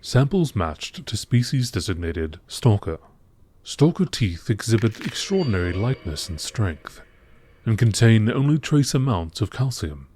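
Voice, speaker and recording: Low-voiced man narrating in documentary style. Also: big pitch swings, unhurried, a little mellow, slightly rough. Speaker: narrator reading out game lore.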